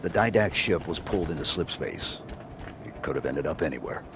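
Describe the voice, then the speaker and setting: An actor playing a stoic, gruff veteran supersoldier commander in a video game, speaking tersely and with authority. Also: male voice, rumbling low, animated, brisk, noisy background. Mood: stoic, resolute. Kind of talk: video game cutscene acting. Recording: loud film score behind.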